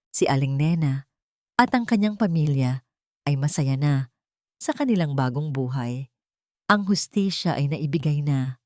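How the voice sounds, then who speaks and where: A woman reading a sentimental story as a scripted narrator, in a measured, earnest storytelling manner. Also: sits low, mellow, no room sound, clean studio sound.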